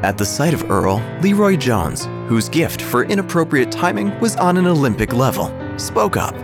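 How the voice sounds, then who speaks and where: A man narrating a dark comic story in a dry, deadpan way. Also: on the high side, moderate pace. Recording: music bed under the voice.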